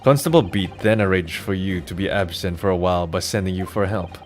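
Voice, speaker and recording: A man playing a formal, accusing lawyer in a courtroom video game, with deliberate, declamatory delivery. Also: sits low, slight background noise, natural voice.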